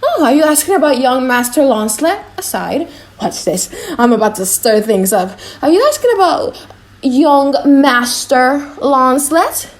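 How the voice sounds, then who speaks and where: A woman acts out a young male servant in an audiobook play. He is cocky and full of mischief. The voice is on the high side, with a teasing, mock-grand way of chattering. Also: very animated, measured pace. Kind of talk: dramatized audiobook reading.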